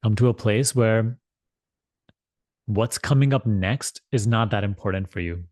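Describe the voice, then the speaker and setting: A man with a fairly low voice leads a guided meditation in a dry, close sound. He is measured and deliberate, often repeating a phrase and leaving space between thoughts. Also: darkish tone, no room sound. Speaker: meditation teacher leading a guided meditation. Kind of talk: guided meditation instruction, spiritual talk.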